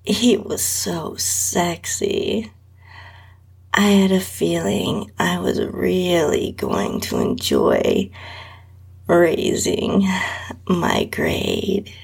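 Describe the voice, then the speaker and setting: Very slow, breathy woman narrator. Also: lowish voice, very animated, a little mellow. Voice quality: breathy, often dropping to a whisper. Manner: sultry, lingering. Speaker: podcast host reading own story. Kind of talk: slow, intimate erotica narration in the first person.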